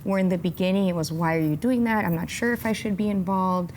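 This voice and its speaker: A woman answering interview questions as an executive guest, upbeat, with measured phrasing. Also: fairly low pitch, a little mellow, a little hiss or hum. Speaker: tech company executive and guest.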